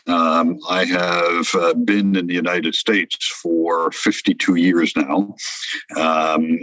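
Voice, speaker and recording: A man's voice: low-pitched, pushed and bright, no echo, rough recording. An older man, born in France, speaking as an interview guest in a reflective way, with many hesitations and restarts. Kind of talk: conversational interview.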